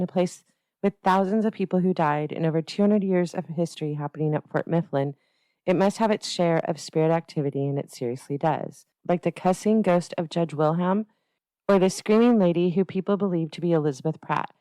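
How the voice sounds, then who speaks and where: A woman hosting a history podcast, reading a scripted narration in an even storytelling manner. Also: fairly low pitch, no room sound, poor audio. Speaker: podcast host. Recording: dry close mic over faint music.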